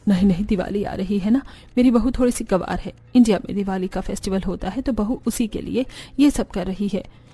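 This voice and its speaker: A woman's voice: medium pitch, a little fast, warm tone, breathy edge, voice pushed down, lightly acted. A woman voicing a haughty older mother-in-law in a cartoon, sneering and dismissive. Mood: scornful. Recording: music bed under the voice.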